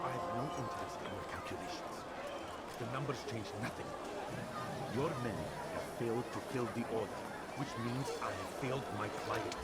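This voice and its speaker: An actor playing a ruthless, menacing arms merchant who scolds with contempt in a dramatic video game scene. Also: male voice, medium pitch, moderate pace, over a noisy crowd, natural voice. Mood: cold impatience. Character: ruthless, bullying adult male arms merchant. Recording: loud music under the voice.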